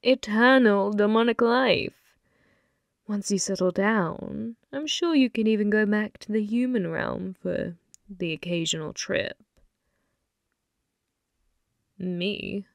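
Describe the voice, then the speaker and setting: A woman voicing a flirty, possessive female demon bartender in an intimate ASMR roleplay, teasing and unhurried, sometimes slipping into whispers. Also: medium pitch, creaky, dry recording, studio quality. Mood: teasing, confident.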